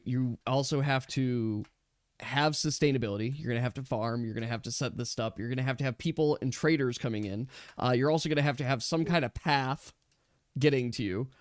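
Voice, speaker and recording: A man's voice: mid-range voice, a little fast, dry recording. A man speaking as a game master, chatting casually and explaining things with emphatic repetition. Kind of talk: casual chat after a tabletop game session.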